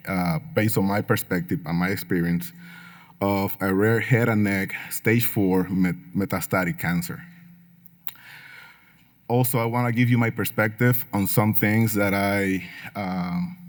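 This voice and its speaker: A middle-aged man from Puerto Rico with a Puerto Rican Spanish accent, giving an earnest talk at a medical conference with frequent fillers and light humor. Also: lowish voice. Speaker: patient speaker at a medical symposium.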